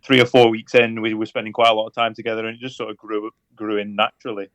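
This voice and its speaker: A man in his thirties with a Lancashire accent, a guest on a podcast interview, speaking in a measured, hesitant way with many fillers and a dry sense of humour. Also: on the low side, level tone, a bit bright, no echo. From Lancashire, England. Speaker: guest, police officer.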